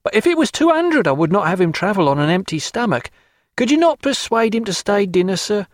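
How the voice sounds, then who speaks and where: A man's voice: high-pitched, very animated, brisk, dry recording, clean studio sound, pitched above the performer's own voice. A man voicing a fussing, motherly female landlady who insists on feeding an invalid, in a storybook reading. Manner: doting, insistent.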